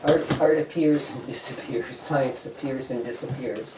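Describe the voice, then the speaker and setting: A man pitching ideas informally to a group, rambling and tentative as he goes. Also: moderate pace. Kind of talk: informal brainstorming talk. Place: indoor meeting room. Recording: roomy, distant mic, faint noise.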